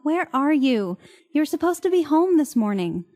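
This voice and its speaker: An actress in an audio play playing an irate, sarcastic, fed-up wife with a theatrical, exasperated way of talking. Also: female voice, high voice, sing-song, moderate pace, warm tone. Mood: ranting. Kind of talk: audio drama dialogue.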